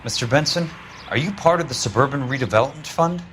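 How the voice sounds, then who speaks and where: An actor playing a plain-spoken, dogged American insurance investigator in a period noir video game. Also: male voice, mid-range voice, fast, lightly acted. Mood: dogged, businesslike. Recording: faint background noise.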